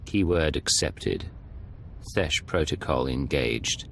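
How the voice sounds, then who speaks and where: Guarded game secret agent: extremely low, creaky, terse and controlled, faint ambience. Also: male voice, slow, fairly bright tone, a little hiss or hum, full character voice. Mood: entranced. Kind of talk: video game voice acting.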